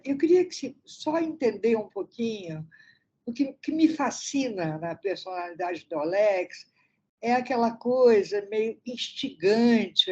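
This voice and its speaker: A woman's voice: medium pitch, darkish tone. A woman hosting a masterclass who asks her questions in a hesitant way, searching for words. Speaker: masterclass host. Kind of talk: interview questions. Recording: dull lo-fi recording.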